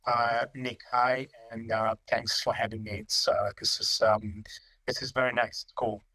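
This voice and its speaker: A middle-aged man from Italy speaks with an Italian accent, stopping often to search for words. His voice is bright and crisp, with little rise and fall. He talks like a company director chatting in an interview. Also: mid-range voice, little pitch movement, poor audio. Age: 50s. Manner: halting, many ums and uhs, restarts. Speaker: company managing director, guest. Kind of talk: casual interview answers.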